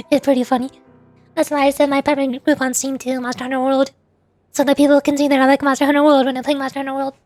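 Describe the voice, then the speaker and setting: A young woman talks casually to her stream in a notably high voice, wandering between thoughts and laughing as she goes. She sounds close to a desk mic. Quiet game music plays behind her. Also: flat delivery, fast talker. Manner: rambling and chatty, with frequent laughs and restarts.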